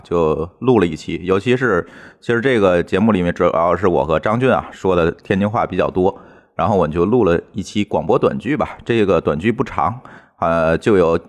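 A man hosting a podcast, giving a casual, chatty introduction to listeners. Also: sits low. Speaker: podcast host.

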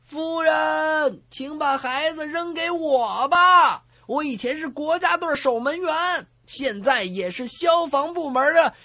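A man's voice: very high-pitched, slow, hardly any pauses, sharp tone, dry recording, voice pushed up, heavily acted. A man voicing a confident, boastful fireman calling out in a comedy radio sketch. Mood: proud, reassuring. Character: confident, boastful fireman, former national goalkeeper, calling upward.

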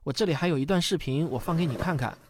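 A man's voice: on the high side, quick pace, slight background noise, lightly acted. A man playing a patient, kindly father who tells stories in a radio drama. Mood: reminiscing. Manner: warm storytelling, patient explaining. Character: patient, kindly father explaining family history to his daughter.

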